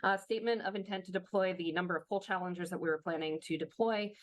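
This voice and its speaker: A woman with a fairly low voice, slightly hoarse, walks through slides in a workshop presentation. She explains each step carefully, with many fillers and restarts. The sound is dry and close, as on a video call. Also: steady tone, fast talker, no room sound. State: slightly hoarse, losing her voice.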